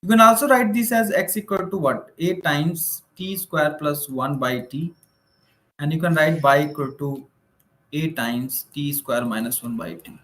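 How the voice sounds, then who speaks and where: Male maths teacher, Indian accent, slightly high voice, informal explanatory delivery with frequent check-in tags, noticeably echoey room. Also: a bit bright. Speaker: maths teacher for exam preparation. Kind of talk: informal online lecture, working through problems. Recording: roomy, echoing room sound.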